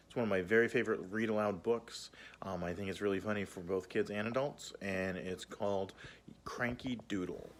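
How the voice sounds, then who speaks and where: A man speaking informally and low-key as a storytime reader introducing a read-aloud book. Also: a bit bright, slight background noise.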